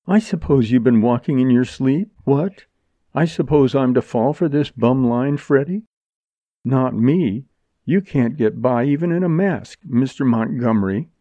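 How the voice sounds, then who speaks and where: Storybook role: male reader as brassy, wisecracking young woman, pitched well up, defiant and sneering. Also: expressive, unhurried, warm tone, no echo, muffled recording, lightly acted. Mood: mocking. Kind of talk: dramatized audiobook dialogue.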